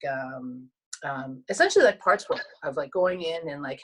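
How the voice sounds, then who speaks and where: Low-voiced Canadian woman, soft and reflective. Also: low-quality recording. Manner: soft-spoken, reflective, many fillers and restarts. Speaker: guest, teacher and coach. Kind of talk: casual conversation.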